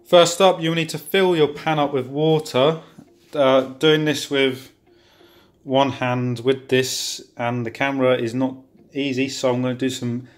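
A man's voice: mid-range voice, fairly even intonation, unhurried. A young man, chatting casually and joking while he cooks in his home kitchen, filming on a handheld phone. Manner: chatty, jokey, rambling asides. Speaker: home cooking vlogger. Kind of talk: casual cooking vlog. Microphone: handheld phone camera.

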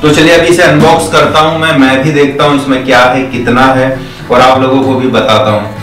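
A man's voice: mid-range voice, level tone, brisk. A young man chatting casually as he unboxes takeaway food for his vlog and counts the items aloud. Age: young adult. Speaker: vlogger. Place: bare indoor room, seated on floor. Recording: echoey room with faint music.

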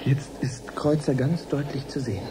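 An actor playing an eager male science reporter in a radio drama, commenting live with measured wonder. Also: mid-range voice, steady tone, heavy background noise, natural voice. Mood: awed, searching.

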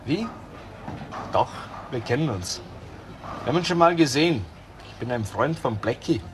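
A man's voice: medium pitch, animated, normal speed, music in the background, natural voice. An actor playing a sly, needling tough guy in a crime radio drama. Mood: needling, menacing.

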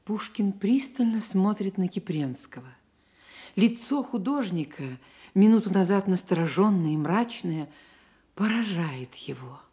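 Slow, mellow woman narrator. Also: medium pitch, animated, husky. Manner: measured, literary storytelling. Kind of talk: scripted radio drama narration.

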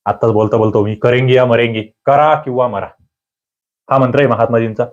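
A man giving a quiz-style exam-prep lecture, firing questions and demanding quick answers from his students. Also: steady tone. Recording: muffled low-fidelity recording.

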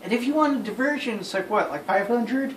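A young man casually telling friends an anecdote in an animated way. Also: very high-pitched, fairly quick. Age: young adult. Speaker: storyteller. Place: small bedroom, indoors. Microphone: phone camera a few feet away. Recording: roomy, distant phone mic with noise.

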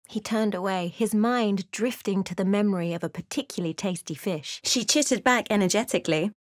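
Woman acting measured fantasy adventurer, very dry sound. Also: mid-range voice, no echo, natural voice. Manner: measured, dramatic storytelling delivery. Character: wry, watchful female fantasy adventurer recounting her journey. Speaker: video game voice actor. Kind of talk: scripted fantasy game narration and dialogue.

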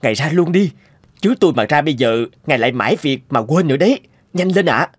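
A man's voice: a little high, lively intonation, fast talker, slightly rough, no echo, clean studio sound. A man voicing an anxious, pleading young male office assistant in a cartoon, with a deferential manner. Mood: urgent, polite. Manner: deferential, imploring. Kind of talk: cartoon voice acting.

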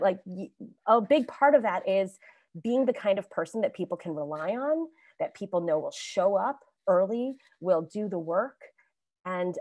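A woman speaks on a video call panel from home, chatty and animated, with frequent laughs and restarts. Her voice sits at a middle pitch. The sound is dry and close, with no room echo. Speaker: alumni panelist, actor.

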